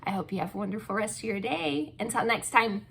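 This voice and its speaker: A woman's voice: medium pitch, fairly quick, creaky, husky. A woman hosting her own channel, chatting casually and gushing with many ums while losing her voice. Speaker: channel host reviewing wax melts. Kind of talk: casual product review.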